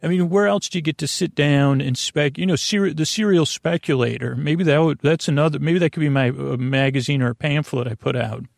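A man hosting a sleep podcast in a rambling, goofy bedtime monologue, halting often, trailing off and restarting in friendly banter. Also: fairly high pitch, gravelly, no echo, clean studio sound.

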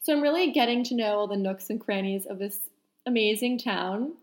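A woman's voice: mid-range voice, brisk, a crowd in the background. A young adult woman from New York City, chatting playfully and wryly as a podcast co-host. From New York City, USA. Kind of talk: casual chat.

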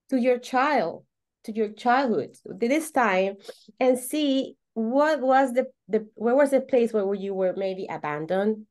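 A woman from Venezuela with a Venezuelan Spanish accent explains things as an interview guest, repeating words for emphasis in halting English. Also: a little high, a little mellow. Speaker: podcast guest, holistic coach.

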